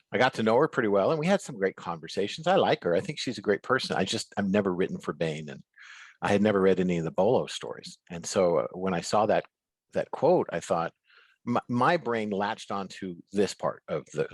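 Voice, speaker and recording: Very deep-voiced, husky man in conversation. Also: expressive, fairly quick, dry recording. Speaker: guest author. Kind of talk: reflective interview conversation over video call. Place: remote video call.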